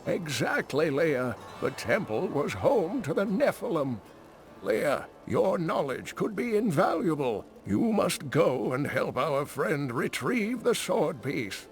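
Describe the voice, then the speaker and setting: An actor playing an earnest old scholar and kindly uncle in a video game, deliberate and theatrical. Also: male voice, fairly high pitch, big pitch swings, soft background music. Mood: approving, instructive. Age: older.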